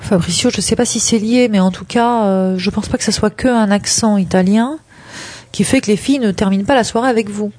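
A frank, emphatic woman with a dark, husky, mid-range voice. She gives conversational advice on the radio, addressing listeners directly in a dry studio sound. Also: mid-range voice, brisk, dry recording. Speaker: relationship advice expert on radio.

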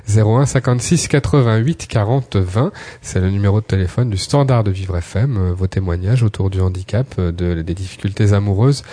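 Mellow low-voiced radio host, male. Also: brisk, no echo. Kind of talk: radio talk, reading out listener questions.

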